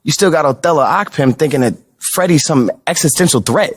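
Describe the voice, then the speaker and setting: An actor playing an easygoing, smooth-talking American sports agent in a video game, coaxing in a casual, chatty way. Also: male voice, on the high side, expressive, a little fast. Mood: persuasive, easygoing. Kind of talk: naturalistic video game cutscene acting.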